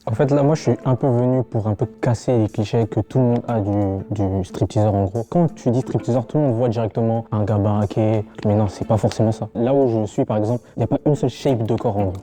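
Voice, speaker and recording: A man of twenty, a guest explaining simply and calmly. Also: fairly low pitch, quick pace, few pauses. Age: 20s.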